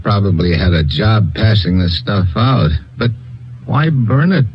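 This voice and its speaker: A wry hard-boiled agent. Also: male voice, lowish voice, very animated, measured pace, husky, exaggerated voice. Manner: wry, clipped tough-guy delivery. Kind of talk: 1950s radio drama acting. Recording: dull old radio broadcast.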